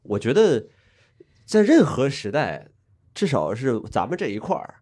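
A man's voice: mid-range voice, wildly swooping pitch, a little slow, darkish tone, breathy edge. A man co-hosting a podcast, wry and laughing often as he makes his points. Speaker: podcast co-host. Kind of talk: casual chat.

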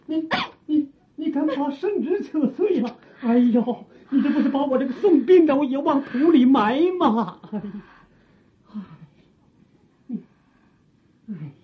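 An old servile eunuch in an audio drama, super husky, drags words out, sighs, muffled sound. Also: female voice, sits high, expressive. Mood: alarmed, scolding. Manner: sighing, halting, theatrical delivery. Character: aging palace eunuch, servile, guilt-ridden, fearful. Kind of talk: Chinese audio drama acting.

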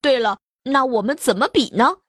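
A woman's voice: high voice, moderate pace, a bit bright, slightly rough, dry recording, clean studio sound, voice pushed down. A woman voicing a proud, boastful old female turtle in a children's story. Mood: gruff. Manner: boastful, scornful. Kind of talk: storybook character voice.

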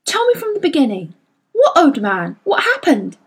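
A concerned young boy from an audiobook story, played by a woman, with a raised, high voice. He is direct and steadying, pressing with short, urgent questions and commands. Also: huge pitch swings, normal speed, exaggerated voice. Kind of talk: dramatized audiobook character voice.

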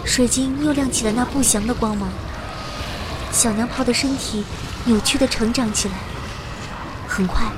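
A woman playing a young fantasy heroine who narrates her own adventure in expressive, scripted radio-drama style. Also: on the high side, normal speed, long pauses, lightly acted. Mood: dramatic. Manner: expressive storytelling. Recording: noisy battle effects behind.

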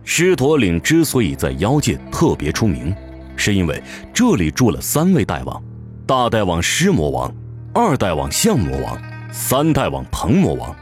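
A man's voice: fairly low pitch, huge pitch swings, normal speed. A man giving dramatic scripted narration of a fantasy audio novel. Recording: music behind the voice. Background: music.

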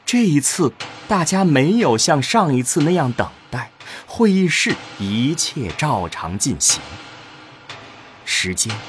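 A man reading scripted narration for a science fiction audio drama in a deliberate, dramatic way. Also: mid-range voice.

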